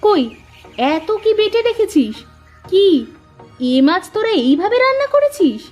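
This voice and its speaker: A woman's voice: high voice, animated, a little fast. A woman voicing a scolding middle-aged village mother in a cartoon story, nagging and exasperated, with music underneath.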